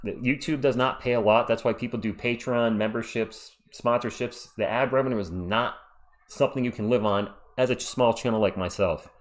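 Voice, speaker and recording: A man's voice: mid-range voice, steady tone, normal speed. Casual man hosting a live sports stream. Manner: chatty, reads viewer comments aloud. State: voice worn out. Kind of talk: casual live stream chat reading comments.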